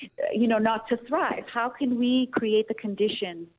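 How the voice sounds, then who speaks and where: A woman's voice: fairly high pitch, moderate pace. A woman from Austria, a radio interview guest calling in, speaking in a reflective, earnest way with many restarts. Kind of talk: radio interview, thoughtful opinion. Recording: tinny phone line.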